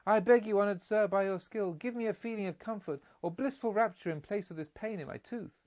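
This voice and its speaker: A man's voice: high voice, brisk, darkish tone, no room sound, lo-fi sound, voice pushed up, lightly acted. A man voicing a spoilt, foolish child in a storybook, pleading and wailing in demands for relief.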